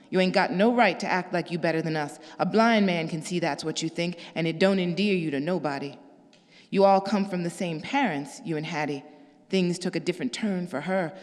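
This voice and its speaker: A woman doing a low, drawling workman. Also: lightly acted. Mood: indignant. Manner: slow, low drawl with rough dialect. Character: gruff Southern working man, plain-spoken and blunt. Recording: long reverb.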